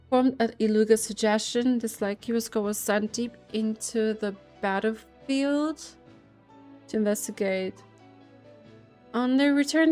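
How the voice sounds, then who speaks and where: A woman streaming a game, reading text aloud and reacting casually with a giggly, expressive manner. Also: medium pitch.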